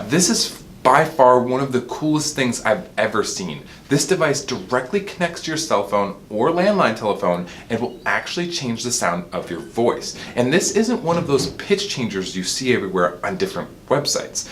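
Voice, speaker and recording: Male product reviewer, mid-pitched, informal explainer delivery, faint rumbling noise behind. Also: a bit bright. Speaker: product specialist presenting a review. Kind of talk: product demonstration talk.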